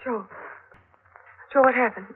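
An actress playing a frightened young bride, pleading and breathless in a melodramatic 1940s radio mystery style. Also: female voice, on the high side, fairly even intonation, unhurried, husky. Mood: alarmed. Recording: narrow-band old radio recording with music.

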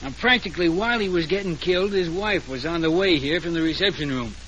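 Casting: man playing gruff, bossy American cop, forceful and bright, slangy and impatient, old radio crime drama with background noise. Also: a little high, fast, muffled recording, close to an everyday voice. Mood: brisk, sardonic. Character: brusque, impatient male police sergeant. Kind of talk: 1940s radio detective drama acting. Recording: noisy old radio transcription.

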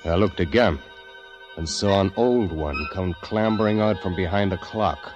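An actor as a haunted, nervous poet in vintage radio drama. His very low voice is halting and intense, with music playing clearly behind him. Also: muffled recording, close to an everyday voice. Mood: uneasy, suspenseful. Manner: hushed, deliberate, halting, intense. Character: nervous poet fleeing the world, haunted narrator. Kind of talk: 1940s radio acting, dramatic first-person narration.

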